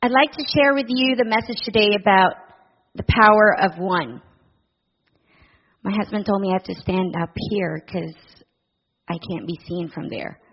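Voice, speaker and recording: A woman's voice: medium pitch, moderate pace, long pauses, breathy edge, muffled recording. Earnest middle-aged woman from the Philippines. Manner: warm, earnest, with soft laughs and fillers. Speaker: director of a family services charity. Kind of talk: church talk to a congregation.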